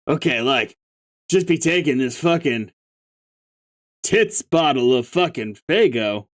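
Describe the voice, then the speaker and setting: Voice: medium pitch, expressive, slow pace. A reader voicing a young male stoner clown troll with a slurred, clownish drawl who swears lazily and marvels at everything. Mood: lazy awe. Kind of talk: reading a webcomic aloud with voices.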